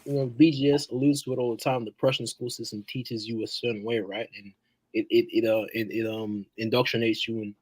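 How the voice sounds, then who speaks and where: A man's voice: medium pitch, level tone, fairly quick, a little mellow, no room sound, low-quality recording. A man who grew up in Australia, chatting casually on a podcast panel, stumbling and repeating words as he thinks. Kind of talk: casual chat.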